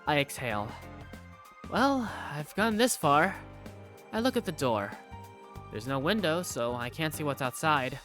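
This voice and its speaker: A man voicing a curious, self-doubting high school student who narrates a visual novel fandub in the first person. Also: sing-song, a little slow, long pauses, fairly bright tone, faint music behind. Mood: curious, uneasy.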